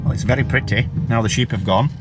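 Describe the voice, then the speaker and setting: A hoarse man vlogging casually from a car, chatty and grumbling, with casual swearing. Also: mid-range voice, quick pace, over loud music. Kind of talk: casual chat while driving.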